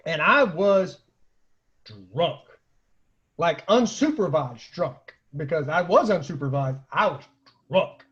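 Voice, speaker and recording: A man tells funny personal stories in a loose, conversational style. His voice sits high and swings widely in pitch, with a rough husky grain. He acts out quoted remarks as he goes, and the homemade recording sounds a little dull. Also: slow, pauses often. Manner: animated, acts out quoted lines, laughs at own stories. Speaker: storyteller, online show host. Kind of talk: casual comic anecdote telling.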